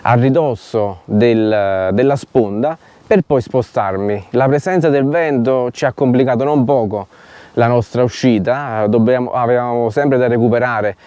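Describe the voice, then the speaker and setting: A middle-aged man explaining fishing technique to camera, haltingly, searching for his words. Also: mid-range voice, dark tone, dry recording. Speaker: fishing vlogger. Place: outdoors on a grassy lakeshore.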